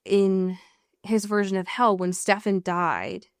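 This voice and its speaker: A woman's voice: mid-range voice, fairly even intonation, takes it slowly, clear, resonant tone, dry recording, studio quality. A woman podcast co-host chatting casually as she recaps a show, acting out bits of dialogue and swearing freely.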